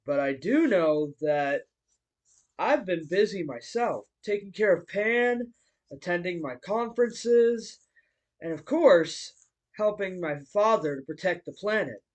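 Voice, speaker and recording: A man voicing a friendly, bookish martial-arts hero and teacher in a fan audio roleplay, speaking politely to the listener with hesitant pauses. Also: high-pitched, lively intonation. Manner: polite, chuckling, hesitant pauses between phrases.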